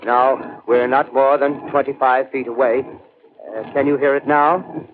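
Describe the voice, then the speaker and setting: An actor playing an excited, flustered radio reporter in a 1930s drama, urgent and halting as he gropes for words. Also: medium pitch, lightly acted. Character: excited male radio reporter, breathless, flustered, broadcasting live on scene. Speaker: on-the-scene radio commentator. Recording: scratchy, tinny 1938 radio broadcast.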